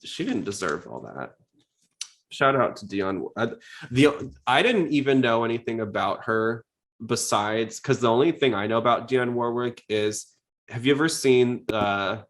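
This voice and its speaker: A man's voice: medium pitch, lively intonation, unhurried, a bit bright. A man hosting a podcast in casual chat, rambling along in a chatty, jokey way. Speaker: podcast host.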